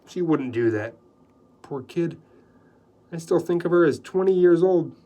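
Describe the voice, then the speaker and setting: A wry, low-voiced journalist, as the narrator puts him on, close to the narrator's own voice. He is dry and concerned. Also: lively intonation, a little slow, warm tone.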